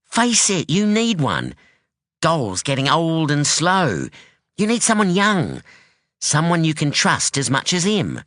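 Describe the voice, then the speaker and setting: Male narrator voicing a defiant young street-tough woman, blunt, challenging, bright, animated. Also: a little high, takes it slowly, no room sound, clean studio sound, voice pushed up, full character voice. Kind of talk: audiobook dialogue.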